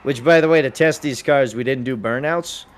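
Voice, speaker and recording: A man's voice: medium pitch, normal speed. A man chatting casually during a game, explaining things emphatically and repeating himself for clarity. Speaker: roleplay server police lead. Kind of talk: casual chat while gaming.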